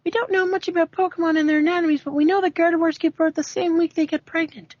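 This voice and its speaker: High-pitched woman reading aloud, slightly muffled. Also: fairly even intonation, fast, a little mellow, no room sound. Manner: stumbling over words, amused. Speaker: amateur fanfiction reader. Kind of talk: amateur dramatic reading with casual asides. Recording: dry, muffled home recording.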